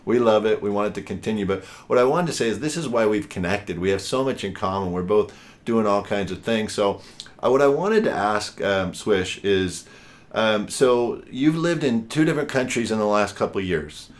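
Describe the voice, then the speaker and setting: This is a man in his forties with a low-pitched voice, hosting a podcast interview. He talks in a chatty, rambling way and keeps dropping in fillers as he works his way toward his questions. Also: animated, normal speed. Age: 40s. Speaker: podcast host.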